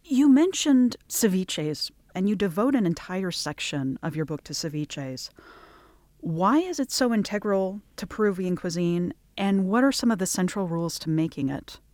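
A woman's voice: lowish voice, expressive, moderate pace, a little mellow, no echo. A woman interviewing a chef, asking attentive questions and responding appreciatively. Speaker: radio interviewer.

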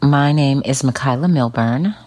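A woman in her fifties answers a poll interviewer. Her voice is strikingly deep. She talks calmly and deliberately. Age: 50s. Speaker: poll respondent.

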